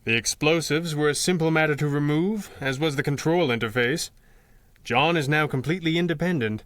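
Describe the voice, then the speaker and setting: An actor playing a calm, philosophical masked crime fighter in a 1930s-style radio adventure, speaking in a measured, articulate way. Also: male voice, fairly high pitch, dry recording, natural voice. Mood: reflective, gentle.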